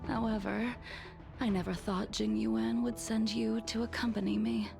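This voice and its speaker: Game role: serene fallen sword legend, measured and breathy, with a creaky edge, over music. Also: female voice, on the high side. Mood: serene, wistful. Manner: slow, calm, breathy, deliberate. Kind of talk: English video game voice acting. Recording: game audio with music behind.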